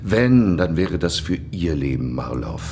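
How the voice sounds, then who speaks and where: An actor playing a cold, cynical Soviet state prosecutor, clipped and contemptuous. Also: male voice, low-pitched, very animated, measured pace, darkish tone, a little hiss or hum, exaggerated voice. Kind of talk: radio drama acting.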